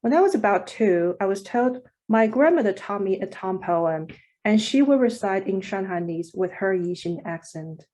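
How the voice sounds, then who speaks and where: A woman's voice: mid-range voice, animated, normal speed, mellow, poor audio. A woman, a Mandarin speaker, reading a reflective prepared commentary as a poet on a panel over a video call. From China. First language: Mandarin Chinese.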